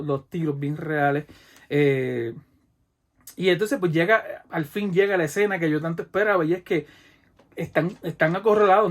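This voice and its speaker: A man in his forties with a Puerto Rican accent, excitedly retelling a movie in a casual vlog, acting out gunshots with mouth sounds. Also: high-pitched. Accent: Puerto Rican Spanish. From Puerto Rico. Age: 40s. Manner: animated, imitates gunshots and action with mouth sounds. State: sweaty from the heat. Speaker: vlogger reviewing a film.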